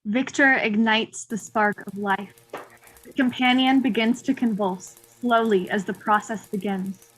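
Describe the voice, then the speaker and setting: A woman's voice: on the high side, steady tone, a little slow, slightly rough, poor audio. A woman narrating stage directions as scripted narration in a play reading.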